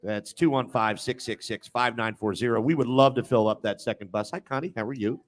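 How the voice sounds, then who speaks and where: A man's voice: mid-range voice, steady tone, a little fast. A male sports radio host with a mid-range voice talks in a casual, live style. He banters and teases, cutting in on his co-hosts.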